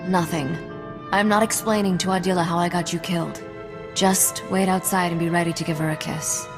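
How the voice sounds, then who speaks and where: Shrewd woman mechanic for a space smuggler game, played by an actress: dry, wry, lowish voice, music underneath. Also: fairly even intonation, a little fast, in a large hall, natural voice. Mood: cool, focused. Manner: dry, sardonic, self-assured. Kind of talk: video game cutscene acting.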